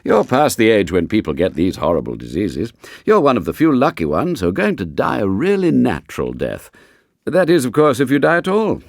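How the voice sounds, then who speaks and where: Man acting English family doctor, genial, frank, teasing, very lively intonation, dry close sound. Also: fairly low pitch, brisk, dry recording, voice pushed up, heavily acted. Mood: hearty, teasing. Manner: hearty, frank bedside banter.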